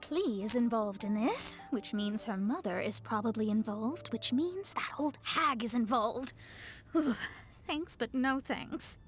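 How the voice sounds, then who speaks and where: A woman's voice: a little high, expressive, slow, soft background music. A woman voicing a proud, put-upon young astrologist in a video game, explaining things in a dry manner. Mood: wary, wry.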